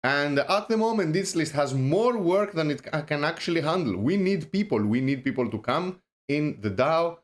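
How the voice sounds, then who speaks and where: A man's voice: on the high side, lively intonation, moderate pace, few pauses. Rambling man with a thick Greek accent. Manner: rambling, many fillers and restarts; joking, self-deprecating. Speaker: host. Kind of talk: casual livestream talk.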